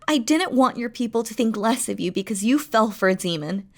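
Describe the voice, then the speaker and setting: A woman playing a devoted, regal demon queen in a romantic audio roleplay, speaking softly and formally to her lover, often dropping to a whisper. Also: on the high side, lively intonation, a little fast. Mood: earnest, devoted. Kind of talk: scripted romantic audio roleplay, spoken intimately to the listener.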